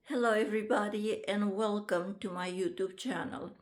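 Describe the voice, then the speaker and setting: A woman, introducing herself to camera in a casual vlog, halting often with ums and restarts. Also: mid-range voice, fairly even intonation. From Romania. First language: Romanian. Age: 50s. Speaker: vlogger and author.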